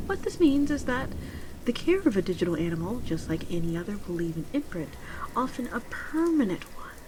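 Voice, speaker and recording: A woman playing a polite, genteel pet shop saleswoman in a soft-spoken ASMR roleplay, measured and often near a whisper. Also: on the high side, lightly acted, poor audio. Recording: clearly audible ambient background noise.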